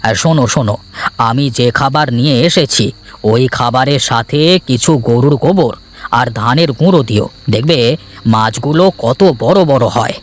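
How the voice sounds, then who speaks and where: A man's voice: mid-range voice, normal speed, lightly acted. A man playing a thrifty, opinionated elder brother in a village cartoon. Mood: lecturing. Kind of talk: cartoon voice acting.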